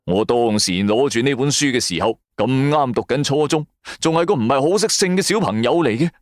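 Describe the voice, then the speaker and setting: A man's voice: mid-range voice, animated, fairly quick, dry recording, clean studio sound. A man with a Hong Kong Cantonese accent narrating a first-person story for a radio drama in a colloquial storytelling manner. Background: music.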